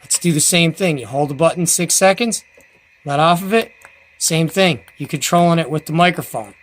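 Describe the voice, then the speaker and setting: A man's voice: a little high, normal speed, a bit bright. An enthusiastic man hosts a casual gear review in a folksy, rambling way. He films at home by himself on a handheld camera mic. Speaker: host of a CB radio review channel. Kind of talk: casual product review and unboxing.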